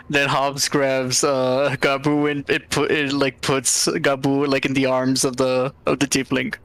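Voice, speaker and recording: A man talking casually as a player in a tabletop game, often halting as he works out what to say. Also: medium pitch, monotone, a bit bright.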